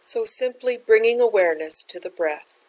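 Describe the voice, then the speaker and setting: Woman gently guiding a meditation. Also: a little high, lively intonation, moderate pace, rough recording. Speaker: meditation teacher. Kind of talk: guided meditation instruction.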